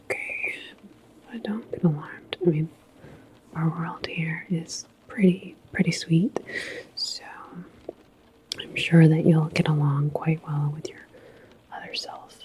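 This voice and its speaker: A woman playing a calm, reassuring transit station attendant in a sci-fi ASMR roleplay, speaking in a soft, breathy whisper with a halting, chatty manner. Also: sits low, poor audio. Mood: confiding.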